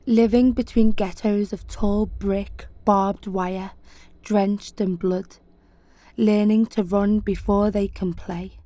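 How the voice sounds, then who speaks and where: Slow, solemn woman poet reciting. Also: mid-range voice, fairly even intonation, warm tone, dry recording. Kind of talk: spoken-word poetry reading.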